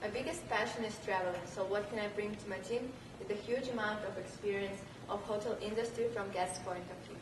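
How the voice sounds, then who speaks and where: A woman's voice: mid-range voice, fairly even intonation, fairly quick, hardly any pauses. A young woman from Russia with a Russian accent, giving a rehearsed student presentation. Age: young adult. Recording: echoey noisy room, distant microphone.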